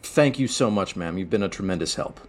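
A man playing a polite, businesslike talent agency caller who bluffs smoothly in a tabletop audio drama. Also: sits low, lively intonation, brisk. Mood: courteous. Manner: courteous, businesslike.

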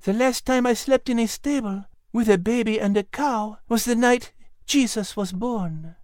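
A man's voice: very high voice, a little slow, darkish tone, no room sound, clean studio sound, voice pushed up. A man voicing an earnest, caring female animal character in a storybook narration. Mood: bittersweet.

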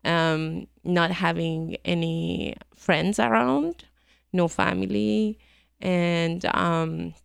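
A middle-aged woman from Iran talks through her memories with frequent ums and easy laughter. Her voice sits on the low side with a gravelly edge, yet stays smooth and clear. The recording is close and dry. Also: drawn-out words, dry recording. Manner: hesitant, many ums, laughs easily. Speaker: guest. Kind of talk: conversational interview.

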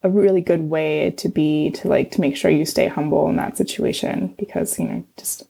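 A woman's voice: deep voice, steady tone, moderate pace, creaky, mellow, slightly rough. A middle-aged woman, a scientist guest whose first language was Chinese, answering in casual conversation, hesitant with many ums and restarts.

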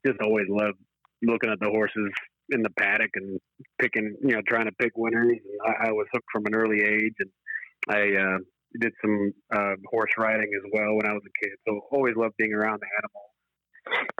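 A man's voice: lowish voice, level tone, normal speed, lo-fi sound. A man in his forties from Zimbabwe and California talks as an interview guest, earnest and reflective, in stop-start phrases over a remote call. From Zimbabwe, then California from age eight. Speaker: interview guest, horse racing professional. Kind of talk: relaxed interview conversation. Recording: boxy, echoey, band-limited remote call.

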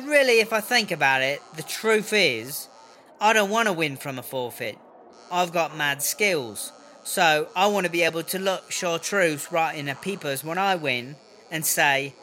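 An actor playing a cocky, rambling, foul-mouthed prison inmate in a comedy mock interview, full of British-style slang. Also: male voice, a little high, bright, forceful tone, slight background noise.

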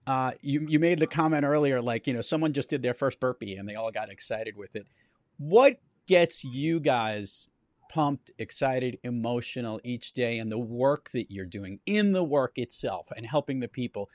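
An upbeat male podcast host asks his guests questions and cheers them on, his voice swinging from low murmurs to high bursts. The sound is dry and close, with no room echo. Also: moderate pace. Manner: upbeat, encouraging interviewer with big swings in pitch and loudness. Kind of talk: interview questions.

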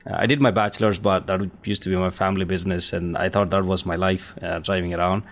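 A man from India speaks with an Indian accent and a low, deep voice. He is a guest in a relaxed podcast interview, talking freely and filling pauses with ums and uhs. He laughs lightly between his points. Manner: chatty, laughs between points, many fillers. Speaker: guest, cybersecurity company founder.

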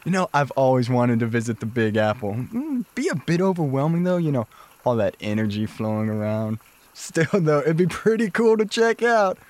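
An actor playing an effusive, laid-back hippie dude in an audio drama, gushing in drawn-out bro talk. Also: male voice, mid-range voice, very animated, a little mellow, lightly acted. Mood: excited, effusive.

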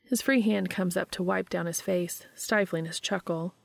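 A woman reading a story aloud as narrator, in an expressive scripted storytelling style. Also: lowish voice, moderate pace, vocal fry, dry recording. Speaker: fan fiction reader and narrator.

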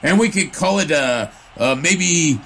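An actor playing an earnest young suitor who rambles eagerly and stammers in a period audio drama. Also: male voice, a little high, expressive, unhurried, bright, forceful tone, exaggerated voice. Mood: nervous, eager. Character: earnest, babbling young 1920s suitor and announcer. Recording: noisy outdoor ambience behind.

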